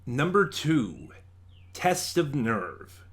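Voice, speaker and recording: A man giving a casual, enthusiastic review in a ranking countdown, speaking haltingly with emphatic stresses. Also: medium pitch, very animated, a bit bright. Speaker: reviewer and channel host.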